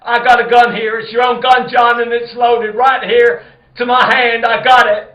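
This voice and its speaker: An actor playing a guilty, blustering country sheriff in an old-time radio drama, with halting, repetitive, folksy speech. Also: male voice, extremely high pitch, flat delivery, a bit bright. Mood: frightened, defensive. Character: guilty, blustering rural sheriff, male, increasingly panicked. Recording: dull, roomy stage recording.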